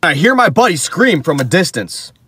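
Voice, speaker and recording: A young American man tells a personal story in loose, sweary slang. His delivery is animated, moving freely up and down in pitch. There is faint noise in the recording. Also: normal speed. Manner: profane, slangy. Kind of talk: casual first-person storytelling.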